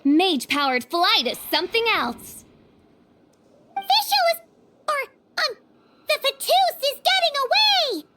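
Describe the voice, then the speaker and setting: A woman voicing excitable young girls in a video game, with a theatrical, exclamatory delivery. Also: extremely high pitch, slow pace, pauses often, slight background noise, exaggerated voice. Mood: excited, alarmed. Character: excitable tiny fairy companion girl and theatrical teenage girls. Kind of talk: English dub game dialogue.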